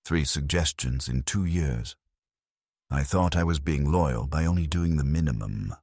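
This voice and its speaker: Condemned labourer , read by a man: hollow, despairing, rock-bottom pitch, husky, grim audio story. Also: unhurried, darkish tone, dry recording, clean studio sound, full character voice. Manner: hollow, bleak. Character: despairing, hollow-voiced male factory worker condemned to die.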